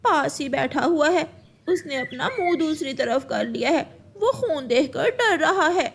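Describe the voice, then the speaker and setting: A woman's voice: sits high, lively intonation, measured pace, creaky, heavily acted. A woman voicing a worried, reproachful mother crow and wife, earnest and admonishing, in a cartoon moral story. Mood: sorrowful. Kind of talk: acted dialogue in Hindi moral cartoon story.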